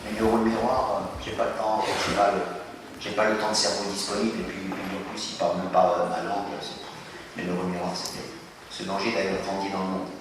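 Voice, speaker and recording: Male speaker for school talk, animated and questioning, distant noisy hall recording. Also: sits low, fairly quick. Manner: animated, questions the audience, acts out little scenes. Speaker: speaker addressing students. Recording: echoey hall from far off, noisy.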